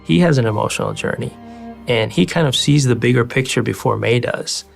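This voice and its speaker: A man explaining the story of an animated short in a documentary interview. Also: mid-range voice, brisk, gravelly, faint music behind.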